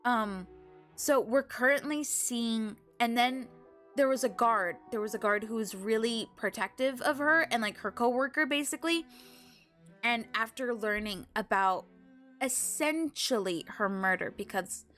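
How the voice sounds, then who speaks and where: A woman livestreamer chatting casually with viewers, halting and emotional in a gushing way. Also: on the high side, a bit bright, soft background music. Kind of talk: casual live game stream chat, recapping to viewers.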